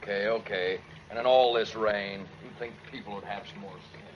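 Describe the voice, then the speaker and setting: Man playing a low-voiced oblivious sightseer. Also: fairly even intonation, normal speed, close to an everyday voice. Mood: amused, grumbling. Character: cheerful, oblivious male passing sightseer. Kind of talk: 1940s radio drama acting. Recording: noisy, muffled 1940s radio recording.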